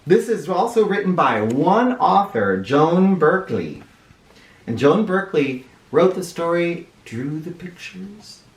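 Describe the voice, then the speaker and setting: Slow, animated man reading aloud to children. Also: on the high side. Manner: animated, with explanatory asides. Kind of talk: expressive children's storybook read-aloud. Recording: roomy sound, distant microphone.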